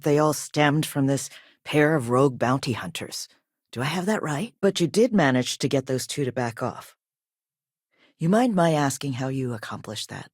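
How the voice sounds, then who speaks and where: A woman voicing a composed, businesslike company owner in a video game, with a polite, measured and formal manner. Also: low-pitched, dry recording, clean studio sound. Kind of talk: video game dialogue acting.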